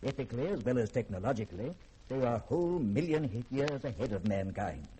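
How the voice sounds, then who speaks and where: A grave and learned male scientist in a vintage radio play. His voice is dark and husky, and he speaks in measured, portentous oratory. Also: medium pitch, animated, poor audio. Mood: solemn, awed. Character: grave, erudite scientist, proud and imposing. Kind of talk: 1950s-style radio drama monologue.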